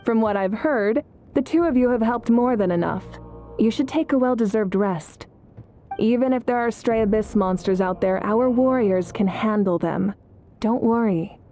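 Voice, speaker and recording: An actress playing a resolute, composed woman war leader in a video game, speaking in a measured, assured and encouraging way. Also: on the high side, dark tone, close to an everyday voice. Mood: calm, firm. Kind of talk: video game character acting. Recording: game music behind the voice.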